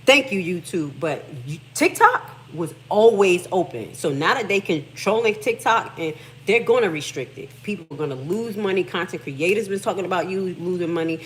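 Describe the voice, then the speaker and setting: A woman's voice: lowish voice, lively intonation, normal speed, a little hiss or hum, low-quality recording. A middle-aged Haitian American woman hosting a livestream, talking casually and emphatically. She reads text aloud, comments on it, and swears freely. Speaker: livestream host.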